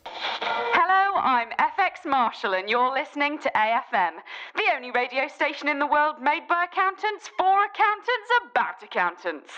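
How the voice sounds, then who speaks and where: A woman's voice: high-pitched, big pitch swings, moderate pace, pushed and bright, full character voice. A woman playing a perky radio DJ in a radio play, heard in muffled lo-fi radio sound. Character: perky female radio DJ for accountants. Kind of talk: parody radio announcing.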